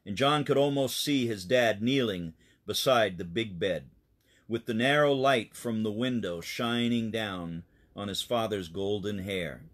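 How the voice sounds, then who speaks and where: A man, a pastor, reading a children's story aloud in a plain, explanatory way with deliberate phrasing and simple asides. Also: lowish voice.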